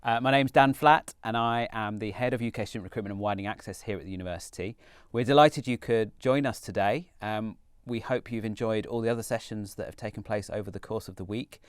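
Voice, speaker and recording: A man hosting a university student panel, upbeat but halting, with many fillers and restarts. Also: fairly low pitch, clean tone, dry recording, clean studio sound. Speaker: panel host, university recruitment head.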